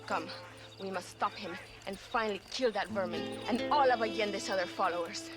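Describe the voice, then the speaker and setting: A woman's voice: mid-range voice, moderate pace, music in the background, natural voice. An actress playing a passionate, earnest young Mexican woman revolutionary in a video game, speaking formally and emphatically with a Mexican accent. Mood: urgent, determined. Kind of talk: dramatic video game cutscene acting.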